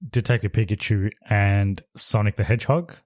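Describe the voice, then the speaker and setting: A man's voice: fairly low pitch, steady tone, normal speed, no echo, clean studio sound. A man co-hosting a podcast in casual chat, explaining things step by step with hesitant restarts. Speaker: podcast co-host.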